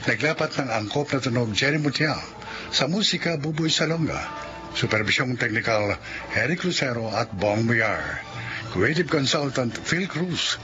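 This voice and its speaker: Animated male radio announcer. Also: mid-range voice, highs cut off, over music. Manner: measured, declamatory delivery. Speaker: program announcer.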